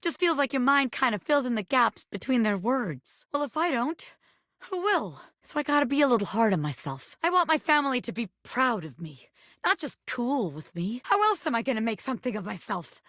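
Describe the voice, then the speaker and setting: A cocky little pin who fancies itself a crime boss in a video game. The voice sits fairly high and swings around a lot in pitch, with a close, dry sound. The talk is slangy gangster bluster with a soft heart underneath. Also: female voice, sing-song, fairly quick, no room sound. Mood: wistful. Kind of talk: animated video game character voice acting.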